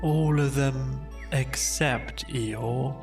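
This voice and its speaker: A storybook narrator voicing a gloomy, self-pitying donkey in a slow, mournful, resigned way. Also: medium pitch, steady tone, over music.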